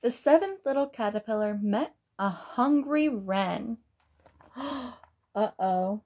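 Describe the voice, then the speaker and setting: A woman's voice: a little high, lively intonation, slow pace, darkish tone. A young woman reading a picture book aloud to children, adding exclaiming, casual asides as a teacher would. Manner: exclaiming, child-directed commentary.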